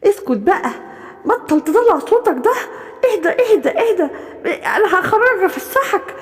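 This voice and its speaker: A woman's voice: very high voice, very animated, moderate pace. A woman voicing a sly, rude female animal kidnapper in an Egyptian Arabic accent, brusque and dismissive. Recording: long hall reverb.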